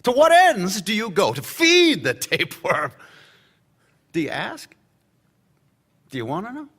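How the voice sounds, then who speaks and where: A man's voice: high-pitched, huge pitch swings, measured pace, a bit bright, husky, long reverb tail, heavily acted. An actor playing a brash, boozy male government insider at a victory party, sarcastic and laughing between his lines. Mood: needling. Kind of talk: radio play acting.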